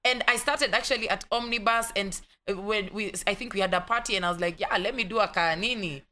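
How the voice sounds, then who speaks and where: A woman's voice: medium pitch, fast, bright, forceful tone. A young woman with a Kenyan accent, a guest in a casual interview, telling her stories with animation, dropping in Swahili words and acting out other people's voices. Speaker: actress guest.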